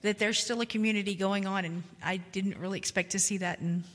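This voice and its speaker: A woman's voice: medium pitch, fairly even intonation, a little fast. A woman sharing a reflection in a group discussion, earnest and thoughtful. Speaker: mission trip member. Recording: long hall reverb.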